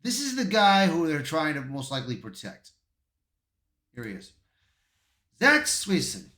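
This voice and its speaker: A man's voice: fairly high pitch, huge pitch swings, a little slow, bright, forceful tone. A man giving casual online commentary and reading aloud, often stumbling over his words. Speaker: YouTube commentator. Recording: roomy, echoey voice.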